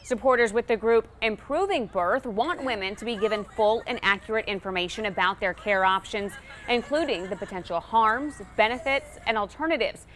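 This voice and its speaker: A woman's voice: on the high side, moderate pace, a bit bright, slight background noise. A woman news anchor reading scripted news reports.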